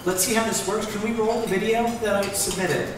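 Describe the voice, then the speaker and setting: A man's voice: high voice, steady tone, fairly quick. A middle-aged American man giving upbeat panel remarks, standing and gesturing on stage with a headset mic in a large event hall. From United States. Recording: echoey hall, distant mic, background noise.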